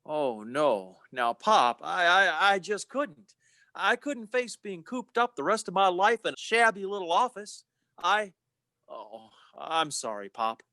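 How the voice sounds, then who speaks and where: An ambitious, restless young man, acted by a man in a dry-sounding radio drama. His high voice swings with animation, eager and earnest. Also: animated, measured pace, sharp tone, no echo, full character voice. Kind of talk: old-time radio play acting.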